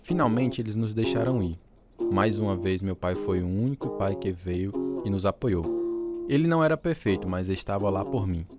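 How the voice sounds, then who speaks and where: Male narrator over clear guitar music. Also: fairly low pitch, lively intonation, normal speed. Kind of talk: scripted dramatised first-person narration.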